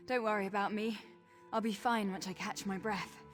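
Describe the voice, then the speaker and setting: Woman doing a determined orc priestess in a game, earnest, middle pitch, music behind. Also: steady tone, moderate pace, natural voice. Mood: winded, grateful. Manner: resolute, earnest, reflective. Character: brave, determined orc high priestess, protective and thoughtful. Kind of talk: dramatic video game voice acting.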